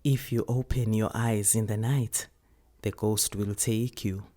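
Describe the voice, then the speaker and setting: A woman's voice: very deep voice, steady tone, measured pace, mellow, pitched below the performer's own voice. A woman voicing a female elder who is bossy yet tender with a child, in a South African accent, in a short story. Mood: hushed, soothing.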